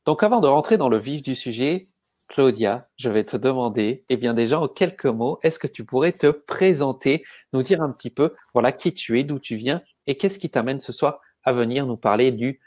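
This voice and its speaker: A man hosting a live webinar, welcoming viewers and giving instructions in a measured way. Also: medium pitch, expressive, darkish tone. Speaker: webinar host.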